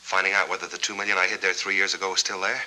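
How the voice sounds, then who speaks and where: An American actor in a 1940s film noir, playing a cornered, defiant war veteran who bargains insistently in clipped, hard-boiled lines. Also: male voice, low voice, flat delivery, rapid-fire, bright, forceful tone, poor audio. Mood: urgent, bargaining.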